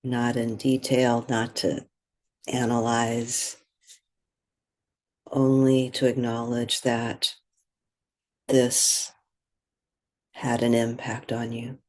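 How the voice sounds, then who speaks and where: A meditation guide speaking gently and in a measured way, leaving long pauses between phrases. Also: mid-range voice, flat delivery. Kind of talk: guided meditation, soothing spoken instruction.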